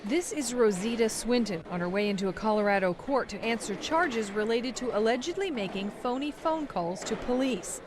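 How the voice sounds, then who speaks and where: A woman's voice: a little high, slight background noise. A woman news reporter delivering a scripted voice-over.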